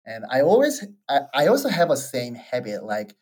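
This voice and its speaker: Korean accented interviewer, mid pitch, talks in long winding sentences, kinda soft. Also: wildly swooping pitch, moderate pace. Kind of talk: casual interview conversation.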